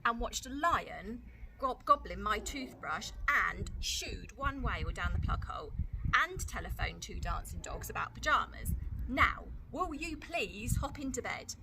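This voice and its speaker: A woman's voice: on the high side, very animated, unhurried, creaky, background noise, exaggerated voice. A woman voicing a patient older brother in a storybook, reasoning and coaxing his little sister towards bed. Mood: mildly exasperated.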